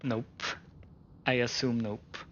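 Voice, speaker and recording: A woman's voice: rumbling low, flat delivery, slow pace, dull audio, crowd noise. A woman giving casual live commentary as she streams a video game. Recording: loud game music behind. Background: loud game music.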